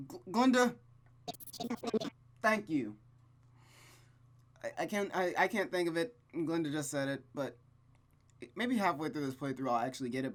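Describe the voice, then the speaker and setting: Rambling, self-deprecating man reading a game. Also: medium pitch. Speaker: host reading a game aloud. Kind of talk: let's play reading with casual commentary.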